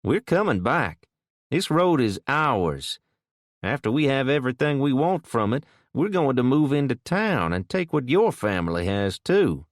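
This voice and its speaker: A man's voice: mid-range voice, big pitch swings, measured pace, no room sound, clean studio sound, voice pushed up. A man voicing a cocky young male thug with a South Louisiana drawl, taunting and threatening.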